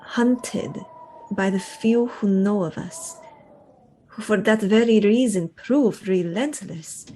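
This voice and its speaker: A woman playing a sorrowful, haunted vampire with a grave, measured delivery in a radio drama. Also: medium pitch, warm tone, a little hiss or hum, lightly acted.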